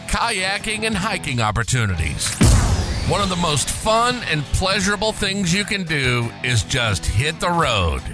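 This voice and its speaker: A man with a fairly high, lively voice announcing a travel podcast. His delivery is punchy and swings widely in pitch. A clear music bed plays under him. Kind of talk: scripted promo intro over music.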